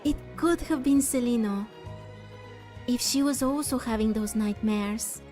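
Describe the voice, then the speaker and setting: A woman's voice: on the high side, a little slow, dark tone, faint music behind. A woman giving casual, reflective let's-play commentary, musing aloud as she plays. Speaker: gaming video host.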